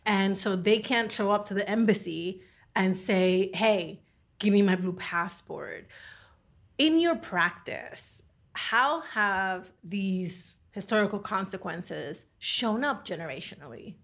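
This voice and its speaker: A woman's voice: medium pitch, takes it slowly, fairly bright tone. A woman hosting a podcast, asking earnest, reflective questions of her guest. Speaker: podcast host. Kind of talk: podcast interview.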